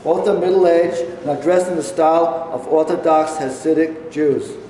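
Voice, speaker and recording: A man's voice: fairly high pitch, moderate pace, dark tone, a little hiss or hum. A man reading stage directions as a scripted narrator. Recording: very echoey hall, distant mic.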